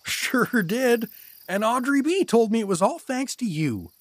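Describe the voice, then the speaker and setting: An actor playing a good-natured, blundering adventurer in a fantasy audio drama, awkward, apologetic and expressive. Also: male voice, very high-pitched, measured pace, a little hiss or hum. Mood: upbeat, grateful.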